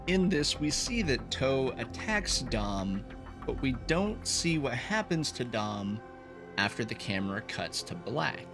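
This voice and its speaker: A man's voice: medium pitch, sing-song, takes it slowly. A man hosting a video essay, reading a scripted, analytical explanation. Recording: voice over background music. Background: background music.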